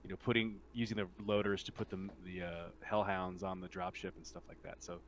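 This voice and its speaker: A man acting as game master, casually explaining rules in a halting way and rephrasing as he goes. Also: sits low, steady tone, a little hiss or hum.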